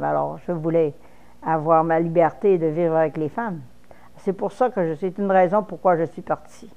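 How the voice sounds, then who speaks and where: A woman's voice: lowish voice, dark tone, dull audio. A woman in her fifties from Quebec with a Québécois French accent, speaking frankly in a personal interview, with false starts. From Quebec, Canada.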